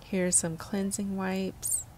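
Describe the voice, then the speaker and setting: A woman vlogger giving a casual, unhurried, descriptive narration of what she is showing while shopping. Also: medium pitch, little pitch movement, mellow, slight background noise.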